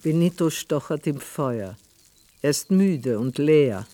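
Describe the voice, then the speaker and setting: A man's voice: fairly high pitch, animated, takes it slowly, mellow. A man narrating a literary radio drama in a scripted storytelling style.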